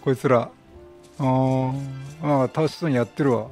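A man's voice: mid-range voice, steady tone, moderate pace, darkish tone, soft background music. A talkative man doing chatty, reactive game commentary as a let's play streamer, exclaiming and reading game text aloud.

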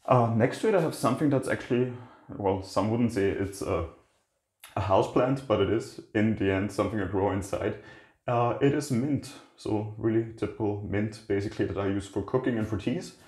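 Deep-voiced man touring houseplants. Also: moderate pace. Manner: relaxed, rambling with frequent restarts and fillers. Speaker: plant channel host. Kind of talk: casual plant tour walkthrough. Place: indoor kitchen by a windowsill. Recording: echoey kitchen room.

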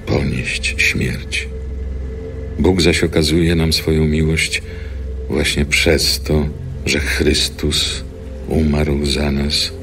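A man reading an epistle from scripture in a grave, deliberate, scripted style. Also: extremely low pitch, gravelly. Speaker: scripture reader.

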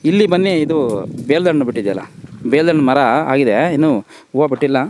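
A man walking a farm outdoors, brisk and directive as he gives practical pruning advice and asks questions. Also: on the high side, expressive, noisy background. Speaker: interviewer and farming advisor. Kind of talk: advisory interview on a farm walk.

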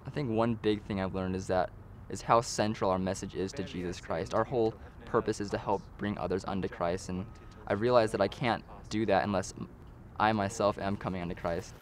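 A man's voice: fairly low pitch, steady tone, brisk. A young man from Utah, a missionary, speaking sincerely with small hesitations in an interview. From Utah, USA. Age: young adult.

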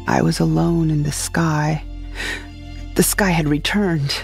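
Woman narrator, creaky voice, deadpan storytelling, scripted sci-fi comedy, clear music underneath. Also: low-pitched, slow. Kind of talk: first-person scripted comedic sci-fi audio drama narration.

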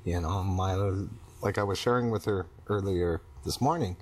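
A middle-aged man in couples counseling, talking haltingly about himself in short fragments. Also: sits low, breathy edge. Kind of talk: halting personal conversation. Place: small TV-style studio set, indoors.

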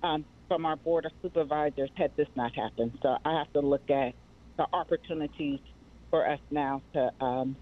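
A woman's voice: sits low, normal speed. Woman on a tinny phone line. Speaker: guest, mental health advocacy director. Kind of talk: personal interview answers. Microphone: remote call.

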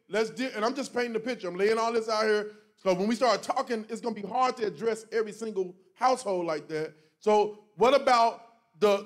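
A middle-aged pastor with a strikingly high voice for a man, speaking to his church from the stage on a handheld mic. He talks in a joking, preachy, animated way, punching lines with loud bursts. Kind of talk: preachy conversational talk to a congregation. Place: church stage before a congregation, indoors.

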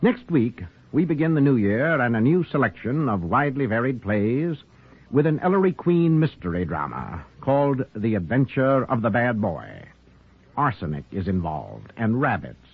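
A man's voice: mid-range voice, very animated, moderate pace, breathy edge. A man hosting a 1940s radio drama, reading a script in a wry, theatrical way. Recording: narrow-band vintage radio recording.

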